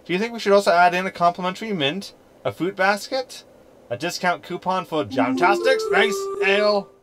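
An actor playing a sarcastic, flippant ship computer hologram with a wry, chatty, teasing manner in a sci-fi comedy audio drama. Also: male voice, high-pitched, expressive, moderate pace, fairly bright tone, a little hiss or hum.

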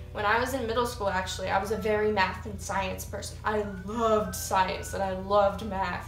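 A woman's voice: mid-range voice, echoey room, far from the mic, poor audio. An eighteen-year-old American woman chatting to camera in a casual vlog, talking with her hands. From United States. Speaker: YouTuber. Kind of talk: casual get-to-know-me vlog. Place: small room with cinder block wall and couch, indoors. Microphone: camera mic a few feet away.

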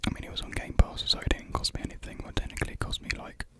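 Young British male, close-miked breathy whisper, casual and hesitant ASMR chat. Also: racing. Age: young adult. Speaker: ASMR video creator. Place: small indoor room at home. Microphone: large USB desktop mic, very close.

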